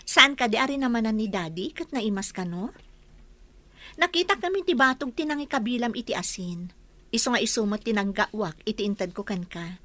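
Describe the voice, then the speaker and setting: A woman's voice: on the high side, lively intonation, brisk, sharp tone, dry recording. A woman acting a dutiful young household cook who stands up for herself, in an Ilocano radio comedy drama. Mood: defiant, indignant.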